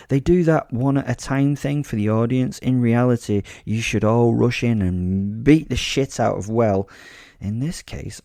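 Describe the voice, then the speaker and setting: Man performing a sadistic story vigilante, mocking, pompous, gloating menace, dark timbre. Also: lowish voice, no echo, studio quality.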